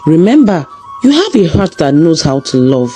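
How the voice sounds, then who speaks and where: A woman voicing a gentle, loving mother in a folktale, speaking tenderly to comfort her grown daughter. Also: low-pitched, very animated, moderate pace, soft background music. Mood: tender, encouraging.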